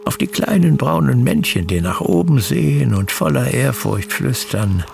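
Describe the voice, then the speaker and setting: A man's voice: medium pitch, very animated, gravelly. An actor playing a boastful self-made socialist schemer in a radio play, speaking grandly and savouring his words. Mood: grandiose, dreamy. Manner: grandiose, slow, savouring delivery. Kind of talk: German radio play monologue. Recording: radio drama voice over loud music.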